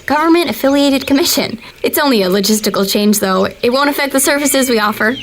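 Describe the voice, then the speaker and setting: An actress playing an earnest young female government video analyst who speaks with calm customer-service politeness. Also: high voice, quick pace, a little hiss or hum. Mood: polite. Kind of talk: audio drama acting.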